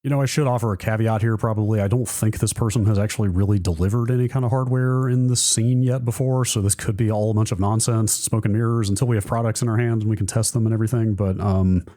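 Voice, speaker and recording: A slightly hoarse man co-hosting a tech podcast, rambling with enthusiasm and lots of fillers. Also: lowish voice, fairly even intonation, quick pace, hardly any pauses, dark tone. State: a bit hoarse. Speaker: podcast co-host. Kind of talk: casual tech chat.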